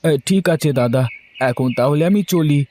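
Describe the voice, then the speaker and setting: A man's voice: on the high side, moderate pace, a little mellow, slight background noise, lightly acted. A kind, principled village farmer from a cartoon played by a man. He is humble and poor, and he speaks in a hesitant, earnest way, easing into his phrases with small filler sounds. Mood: earnest, reluctant. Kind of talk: cartoon dialogue acting.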